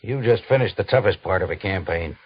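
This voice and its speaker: An actor playing a curt, commanding cavalry major in an old radio drama, speaking with stern authority. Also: male voice, low-pitched, brisk, breathy edge. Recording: dull old radio recording.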